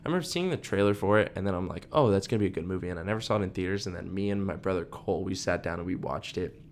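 A man's voice: sits low, racing, a little hiss or hum. A young man co-hosting a podcast in casual chat, rambling with lots of "like" and "dude". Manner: rambling, heavy use of "like" and "dude". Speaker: podcast co-host.

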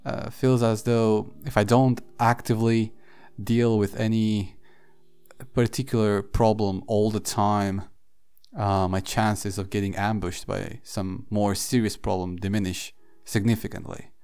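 A man hosting a solo podcast, thinking aloud in a halting, reflective way with frequent pauses and fillers. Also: fairly low pitch, little pitch movement, darkish tone, smooth. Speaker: podcast host. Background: faint background music.

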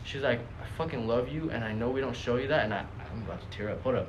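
A man's voice: mid-range voice, fast. A young man chatting casually as a podcast host, blunt and emphatic, with heavy slang and swearing, repeating himself to make a point. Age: young adult. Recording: noticeable background noise.

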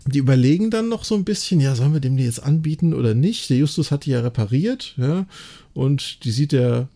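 A man's voice: medium pitch, lively intonation, brisk, mellow. A man co-hosting a podcast, retelling and discussing a story in a chatty, colloquial German style. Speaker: podcast co-host. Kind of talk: casual discussion and retelling of an audio drama plot.

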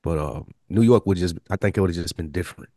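Deep-voiced man, casual podcast co-host. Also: quick pace, mellow, dry recording, studio quality. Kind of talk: casual discussion.

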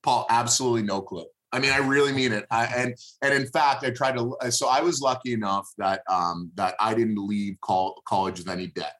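A man in his thirties, a native Hebrew speaker from Israel, talking as an interview guest in a thoughtful, halting way with many fillers. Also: medium pitch, fairly bright tone. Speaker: guest, moving company businessman. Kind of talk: casual podcast interview.

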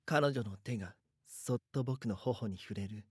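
A man's voice: mid-range voice, unhurried, darkish tone, husky, no echo, studio quality. A man playing a frightened, helpless schoolboy who narrates his own story in an audio drama. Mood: hopeless, resigned.

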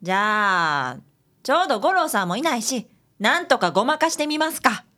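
Woman acting a high, animated detective's assistant. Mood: resolved, kindly. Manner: expressive, brisk. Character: breezy, capable female detective's assistant. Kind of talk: radio drama acting.

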